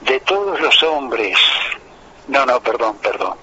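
A man calling in to a radio show, reciting poetry slowly and earnestly. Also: mid-range voice, very animated, pushed and bright. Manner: earnest, lingering on lines. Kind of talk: slow, emotive poetry recitation. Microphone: telephone. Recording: tinny phone line with faint noise.

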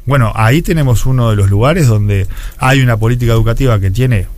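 A man's voice: mid-range voice, a little fast, a little mellow. Uruguayan guy in his 40s explaining stuff in an interview, repeats words while he thinks, normal midrange voice. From Montevideo, Uruguay. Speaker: interview guest, education official.

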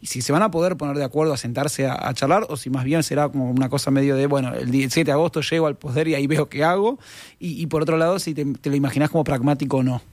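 Brief: Argentine male co-host, quick and high, dry close sound, rambling. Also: a little mellow, no room sound. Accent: Argentine Spanish. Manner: rambling, hesitant questions with many fillers. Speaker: radio co-host. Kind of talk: radio interview questions.

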